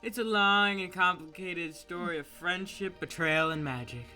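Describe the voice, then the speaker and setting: A man's voice: high-pitched, normal speed, pushed and bright, faint music behind. A man voicing a theatrical, sarcastic sidekick character in a scripted comedy audio drama. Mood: theatrical, grudging. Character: snarky sidekick mascot of the narrator, theatrical and sarcastic.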